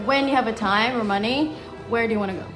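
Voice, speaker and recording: A woman asks her guest questions in a bright, friendly way and laughs easily. Her voice sits a little high. The room is echoey, with music playing behind her. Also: quick pace. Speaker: host. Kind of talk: casual interview.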